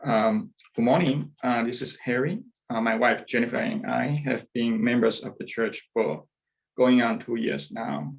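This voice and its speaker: A man's voice: medium pitch, creaky, rough recording. A man in his thirties from Taiwan, reading a church testimonial carefully over a video call, with a few hesitations. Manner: careful, measured delivery with some hesitations. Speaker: church member giving testimonial.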